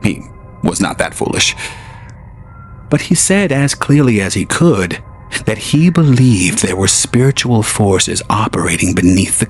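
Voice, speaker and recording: A man narrating an audio drama in a measured, grave storytelling manner. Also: mid-range voice.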